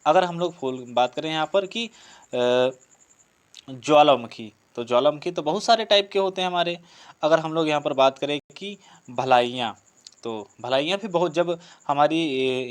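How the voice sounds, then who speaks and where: A man teaching a grammar lesson, explaining step by step with repetition, listed examples and rhetorical questions. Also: mid-range voice. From India. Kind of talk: online exam-prep grammar lesson.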